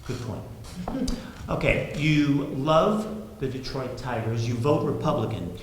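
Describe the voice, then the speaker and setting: A man's voice: medium pitch, lively intonation, takes it slowly. A man on stage playing a wry divorced guy bantering in a play. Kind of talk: live stage play dialogue. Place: theatre stage, recorded from a distance. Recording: very echoey hall, clear noise.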